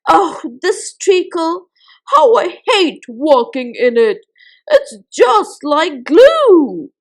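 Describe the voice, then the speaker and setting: A woman's voice: extremely high pitch, sing-song, extremely slow, heavily acted, low-quality recording. A woman doing a frantic little thief. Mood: scheming. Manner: loud, frantic, wailing. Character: mean, thieving little male fellow, panicky.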